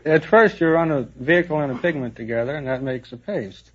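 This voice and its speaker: A young American man answers politely and simply as a quiz contestant. His voice is fairly high and a little rough, caught on a muffled old radio recording. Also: a little fast. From United States, California. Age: 20s. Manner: polite, plain short answers.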